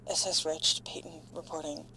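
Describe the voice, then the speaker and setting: Woman playing stranded space crew member doing ship logs, low pitched, halting, frightened and swearing, audio drama, bit of hum behind her. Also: steady tone, sharp tone, rough recording. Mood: wary, anxious. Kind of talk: audio drama monologue as ship's log.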